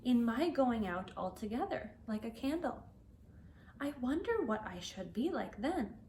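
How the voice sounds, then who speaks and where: A woman's voice: a little high, big pitch swings, a little slow, a little mellow. Curious, earnest young storybook heroine talking to herself, acted out by a woman reader, faint background noise. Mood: nervous musing. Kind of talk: storybook read-aloud.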